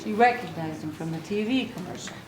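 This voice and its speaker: Echoey noisy room, high-pitched woman, children's storytelling, expressive read-aloud, asides to listeners. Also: fairly quick. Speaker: children's author reading own book aloud. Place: book signing event in an echoey room. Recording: echoey room recording with clear noise.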